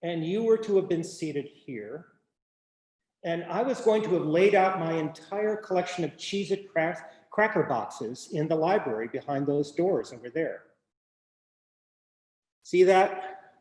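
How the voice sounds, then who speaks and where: A man, a playwright, addresses the audience in a theatrical monologue, wry and halting, given to wordplay. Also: a little high, lively intonation, a little mellow.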